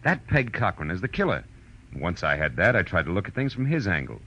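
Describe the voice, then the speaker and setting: A world-weary private detective in vintage radio style. His voice sits low and moves expressively through laconic, wry lines and moody narration. The old recording is dull, with music behind it. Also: very animated, brisk, bright, forceful tone, a little hiss or hum, full character voice. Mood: wry, explaining. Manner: laconic, wry, tender with women.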